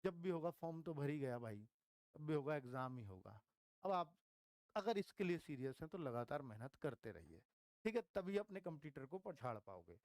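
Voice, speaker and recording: A man's voice: fairly high pitch, big pitch swings, moderate pace, darkish tone, no room sound. A man, an exam coach explaining things informally to students and repeating key points for emphasis. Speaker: exam coach teaching online.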